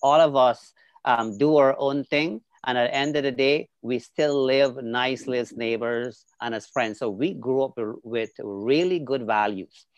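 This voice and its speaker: A man's voice: mid-range voice, a little slow, dry recording. A middle-aged man from Guyana, a social worker explaining his work as an interview guest in a friendly way with many fillers. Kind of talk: video call interview. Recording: dull lo-fi sound.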